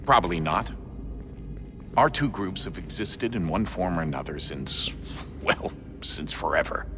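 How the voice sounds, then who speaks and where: An actor playing a stern, world-weary middle-aged father in a video game, speaking in a measured, matter-of-fact way. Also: low-pitched, expressive, over music. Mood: earnest. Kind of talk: video game cutscene acting.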